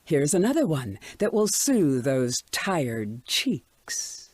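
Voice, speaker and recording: Slow, smugly menacing radio DJ. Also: male voice, on the high side, big pitch swings, darkish tone, no room sound, full character voice. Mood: smug menace. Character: smug, menacingly soothing radio DJ. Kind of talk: scripted radio patter.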